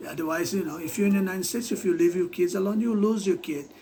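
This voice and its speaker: Man, Brazilian accent, high voice, rambling, halting restarts, casual vlog monologue. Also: warm tone. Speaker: vlogger.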